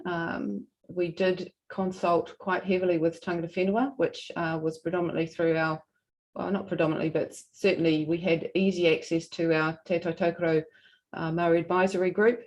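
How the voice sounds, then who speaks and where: A woman with a New Zealand accent and a fairly low voice presents at a formal hearing. She feels her way through the points, often stopping to rephrase. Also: darkish tone, low-quality recording. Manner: halting, hesitant delivery with frequent self-corrections. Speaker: regional council representative presenting.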